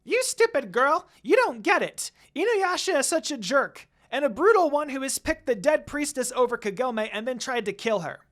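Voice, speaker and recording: Here is a little imp retainer from a fantasy story, acted out by a narrator. He is shrill, loud and irritable, a cowardly male servant who yells and scolds far above an everyday pitch. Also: very animated, moderate pace, few pauses. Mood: angry, scolding. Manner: yelling, scolding.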